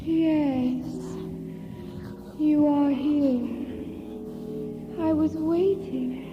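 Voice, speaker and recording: An actress playing a frail, anxious young gentlewoman in an audio drama, with a breathy, hesitant, languid delivery. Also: sits high, fairly even intonation, very slow, music in the background. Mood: entranced. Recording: muffled, dull recording.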